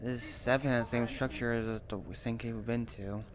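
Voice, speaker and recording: A man role-playing over a virtual reality voice chat, speaking in a hesitant, halting way. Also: medium pitch, steady tone. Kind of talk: improvised in-character role-play chat. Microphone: VR voice chat microphone. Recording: noisy voice chat with ambience.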